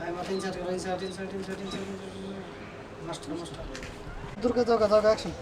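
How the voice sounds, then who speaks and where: Distant man directing a shoot, very fast. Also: high voice, steady tone, over a noisy crowd. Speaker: film director. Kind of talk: calling directions on set. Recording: distant, noisy outdoor film set.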